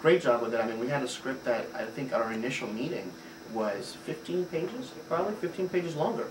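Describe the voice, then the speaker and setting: A man's voice: mid-range voice, expressive, a little fast. A man from Puerto Rico, a theater director, giving thoughtful interview answers, fluent but with frequent filler sounds. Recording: roomy, distant mic, noisy ambience.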